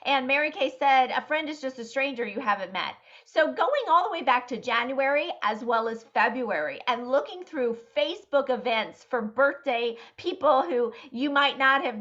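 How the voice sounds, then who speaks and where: A high-voiced woman walks her sales team through an event plan on a video call. She coaches in an upbeat, step-by-step way, and the audio sounds dull and lo-fi. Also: bright, forceful tone. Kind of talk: team meeting presentation.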